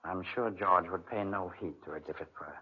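An actor playing a sly, manipulative older guardian uncle in an insinuating, coaxing 1940s radio drama style. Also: male voice, low voice, a little fast, slightly rough. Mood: sly persuasion. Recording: muffled vintage 1940s radio recording.

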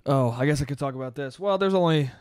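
A man's voice: fairly high pitch, quick pace, a little mellow, clear, resonant tone, no room sound. A young man in his twenties hosting a solo podcast in a small home room, talking loosely and profanely, a little congested. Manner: loose, profane, rambling, laughs at own jokes. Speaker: podcast host. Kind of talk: casual solo podcast monologue. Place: small bedroom studio. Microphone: boom-arm studio mic up close.

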